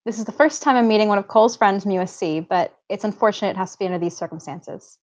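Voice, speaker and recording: A woman performs a mother in her forties, loving, protective and firm. She reads her part from a script at a table read. The recording is dull and lo-fi, with a medium-pitched voice. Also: fast talker, close to an everyday voice. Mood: wry, welcoming.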